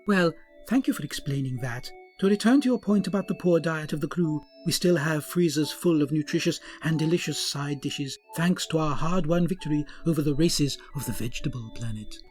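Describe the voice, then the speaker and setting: A man's voice: a little high, fairly quick, a little mellow, soft background music, close to an everyday voice. A man voicing a pompous, grandiose male starship captain in a comic audio drama.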